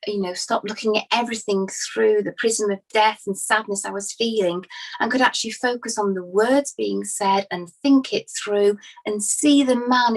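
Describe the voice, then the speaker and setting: A woman playing an earnest female disciple giving witness testimony in a dramatized inquiry, speaking in a halting, conversational, emphatic way. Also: mid-range voice, vocal fry, rough recording. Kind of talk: naturalistic dramatized courtroom testimony.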